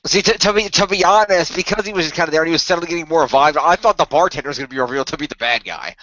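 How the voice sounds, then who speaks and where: A man's voice: fairly high pitch, rapid-fire, no room sound, muffled recording. A man from the cast, joking around in a casual group chat. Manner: joking and animated. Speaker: cast member.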